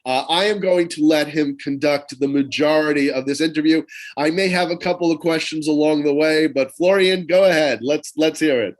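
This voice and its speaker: An upbeat man co-hosting a podcast introduces the guest in a promotional tone. His voice sits a little high for a man. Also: normal speed. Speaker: podcast co-host.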